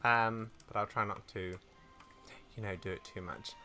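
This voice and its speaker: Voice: deep voice, fairly even intonation, normal speed. A gaming video creator of unclear sex chats casually and apologetically through a playthrough, in an informal way. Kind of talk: casual game playthrough commentary with read-aloud dialogue. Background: game music, keyboard clicks.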